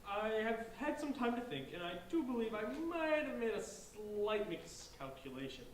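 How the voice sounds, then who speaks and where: Guy doing a brainy earnest boy, high lively voice, kinda explainy, staged play reading. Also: normal speed. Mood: thoughtful. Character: earnest brainy boy planning to free trapped spirits. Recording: roomy, distant mic, faint noise.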